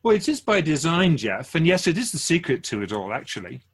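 An actor playing a wise, otherworldly male keeper of souls in a radio drama interview, gently teaching like an outsider to humankind, with a husky voice. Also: on the high side, big pitch swings, brisk, exaggerated voice. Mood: reassuring, teaching.

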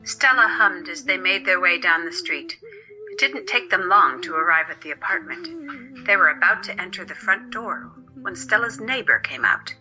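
A woman's voice: medium pitch, sing-song, normal speed, bright, forceful tone, highs cut off, faint music behind. A woman narrating a scripted story as the narrator of an audio drama.

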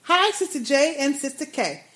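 High-voiced woman co-hosting a podcast. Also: sing-song, normal speed, fairly bright tone. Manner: laughing, playful, reads emails aloud. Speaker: podcast co-host. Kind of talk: casual chat reading listener emails.

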